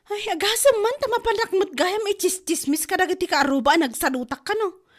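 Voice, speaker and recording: High-voiced exasperated Ilocano woman. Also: a little fast. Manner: exasperated, complaining, rising exclamations. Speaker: wife and mother in a comedy drama. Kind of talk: Ilocano comedy drama acting.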